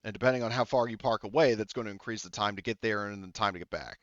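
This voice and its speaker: Brief: male game master, low smooth voice, rambling, lots of "like" and "um", dull lo-fi dry sound. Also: quick pace, no echo. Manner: rambling, many fillers and restarts. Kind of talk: casual tabletop game narration. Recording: dull lo-fi audio.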